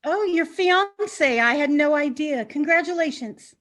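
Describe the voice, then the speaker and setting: Woman acting a high-voiced, nervous lady interviewer. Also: expressive, measured pace, a bit bright. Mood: awkward, nervous. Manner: hesitant, apologetic. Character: polite, awkward, nervous female interviewer investigating a disappearance. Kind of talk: comedic mystery acting in an online play.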